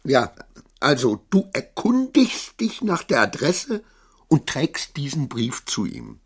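An actor in a German radio play as a suspicious, agitated titular councillor, halting and repetitive, scolding and wheedling his servant. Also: mid-range voice, huge pitch swings, breathy edge, heavily acted. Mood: insistent instructing.

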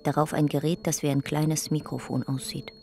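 A woman narrating a radio drama in a calm, measured reading. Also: deep voice, soft background music.

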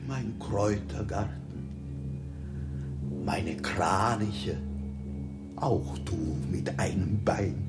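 A contemptuous, melancholic monarch in a 1960s radio play. He savours his words theatrically and slowly, the pitch rising and plunging with royal disdain. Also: heavily acted. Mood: mournful farewell. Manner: pompous, theatrical, savouring each phrase.

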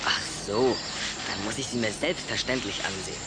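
A man's voice: on the low side, normal speed, very noisy, natural voice. A man playing a polite, curious and slightly sceptical schoolboy in a children's audio drama, with a questioning, dry manner.

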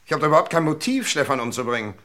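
An actor playing a defensive, evasive suspect in a radio crime drama, indignant and scornful. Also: male voice, fairly high pitch, very animated, racing, pushed and bright.

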